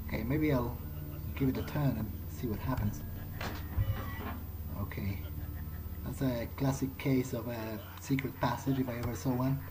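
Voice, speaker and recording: A man's voice: mid-range voice, background noise. A man giving casual, in-character gameplay commentary, musing aloud to himself in a wry way. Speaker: let's play host. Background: game music.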